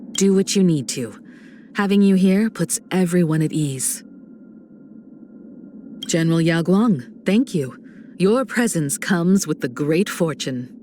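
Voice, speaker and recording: Casting: elegant adult woman navigator for a video game, unhurried, lively intonation, reassuring poise. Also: fairly low pitch, a little hiss or hum. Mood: encouraging, gracious. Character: graceful, composed, warm adult woman, spacefaring train navigator. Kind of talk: polished game voice acting.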